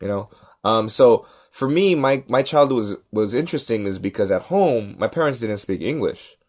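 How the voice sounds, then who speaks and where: A man's voice: on the low side, normal speed. A man, telling stories in a casual podcast chat and acting out the other people in them. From Los Angeles, United States. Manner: animated, acts out quoted voices, repeats "right?". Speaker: podcast cohost, stand-up comedian. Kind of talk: casual storytelling.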